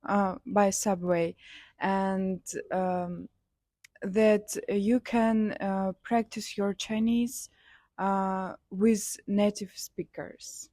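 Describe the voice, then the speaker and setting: Slow hesitant young Kazakh woman. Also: medium pitch, flat delivery, mellow, no room sound, clean studio sound. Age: young adult. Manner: hesitant, many fillers, simple non-native English. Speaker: interviewee, student. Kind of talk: street interview answers.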